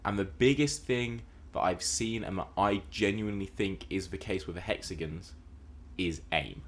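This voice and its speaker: A man's voice: low voice, normal speed. A man co-hosting a casual film and TV discussion podcast, talking in an explanatory, emphatic way. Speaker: podcast co-host.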